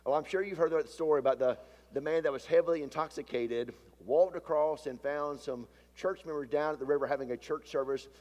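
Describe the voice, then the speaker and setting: A male pastor preaching a sermon with a stuffy, sinus-deepened voice. He often swells louder and slows down to stress a point. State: stuffy from a sinus issue, voice deeper than usual. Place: church sanctuary. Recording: long hall reverb from a church sanctuary.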